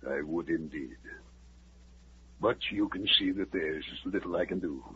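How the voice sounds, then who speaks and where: An actor playing a cautious army colonel, formal and measured and sometimes hesitant, in a 1950s radio drama. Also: male voice, low-pitched, sharp tone. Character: cautious middle-aged male army colonel. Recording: muffled old radio recording with faint hiss.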